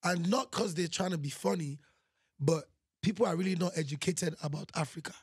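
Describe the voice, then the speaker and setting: High husky Nigerian man telling stories. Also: a little fast, no room sound, studio quality. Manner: animated storytelling, keeps saying "you know what I'm saying". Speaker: guest musician. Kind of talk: casual interview chat.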